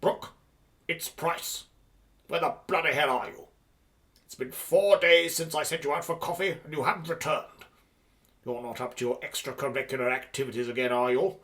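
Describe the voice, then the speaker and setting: A man's voice: a little high, moderate pace, pushed and bright. A man playing an irate, threatening boss in a radio play, sarcastic and coarse. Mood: angry, threatening. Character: irate, threatening male boss hunting a missing underling.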